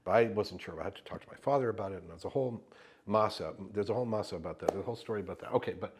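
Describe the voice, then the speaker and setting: Man, native Yiddish speaker, interviewee, storytelling; deep and husky, animated, acts out the dialogue he quotes. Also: rapid-fire. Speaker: actor interviewee. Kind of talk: anecdotal storytelling in an oral history interview.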